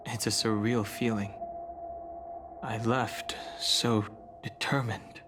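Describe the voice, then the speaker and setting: A man playing a solemn, reserved exile burdened by his past in a video game, speaking in a measured, formal way. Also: lowish voice, level tone, over music. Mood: wistful. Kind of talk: English video game voice acting.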